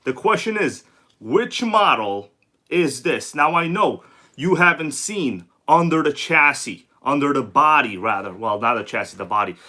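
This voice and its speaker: A man's voice: medium pitch, animated, a little slow, sharp tone. A man hosting a casual livestream, chatty and slangy, greeting viewers by name, with a sore throat and a congested voice. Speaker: livestream host. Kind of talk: casual live-stream chat.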